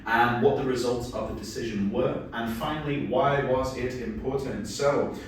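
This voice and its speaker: A man runs a speaking exam, asking his questions patiently and filling pauses with ums. His voice comes from a distance in a very echoey room. Faint background noise sits underneath. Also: medium pitch, fairly even intonation. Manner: patient, with frequent fillers. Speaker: speaking test examiner. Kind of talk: conducting a mock exam.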